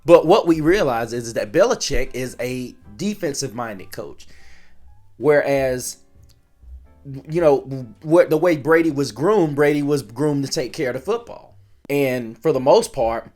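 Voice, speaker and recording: A man's voice: mid-range voice, animated, normal speed, a little mellow, soft background music. A man hosting his own sports channel, chatting casually to viewers with a voice worn down by a recent illness. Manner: rambling, conversational, with frequent restarts and fillers.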